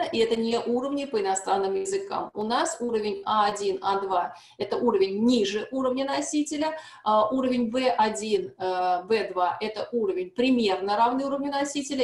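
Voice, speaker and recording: A woman speaks at a seminar in a fairly high voice, explaining carefully and often pausing on hesitant fillers. The room around her is echoey, like a conference hall. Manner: frequent hesitations and restarts, explanatory. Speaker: seminar speaker on language testing. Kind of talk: academic presentation.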